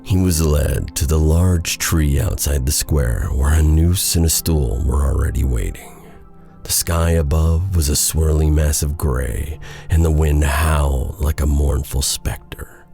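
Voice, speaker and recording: Really deep voiced guy telling a dark bedtime story, gravelly, dramatic n expressive narration. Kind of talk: scripted narration of a dark bedtime fairy tale.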